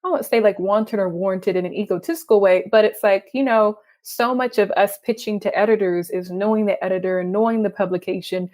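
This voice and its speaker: Young texan woman, freelance writer, talks fast and chatty, laughs a lot. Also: mid-range voice. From Texas. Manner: chatty, animated, laughs often. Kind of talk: conversational interview.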